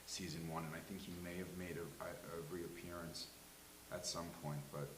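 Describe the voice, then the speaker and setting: A man's voice: sits low, flat delivery, normal speed. A man earnestly giving effusive feedback in a casual discussion, often restarting his sentences as he goes. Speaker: table read participant giving feedback. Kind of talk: casual post-show discussion. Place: live table read in a room. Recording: roomy echo with faint hiss.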